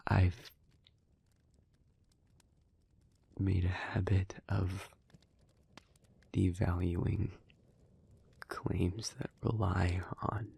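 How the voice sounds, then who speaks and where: A man playing an earnest, analytical demon in an intimate audio roleplay, speaking in a hushed, breathy, measured and deliberate way. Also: deep voice, heavily acted. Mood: reflective, confessional. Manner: measured, deliberate, halting, formal wording. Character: earnest, analytical male demon, gently devoted and restrained.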